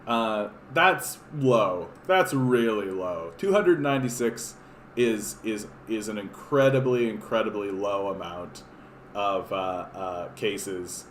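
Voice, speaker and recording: A man's voice: fairly low pitch, lively intonation, slow, roomy sound, slight background noise. A man from Canada hosting a casual political livestream, rambling with lots of fillers and restarts, sarcastic as he reads chat comments. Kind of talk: casual live-stream chat reading comments. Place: home room.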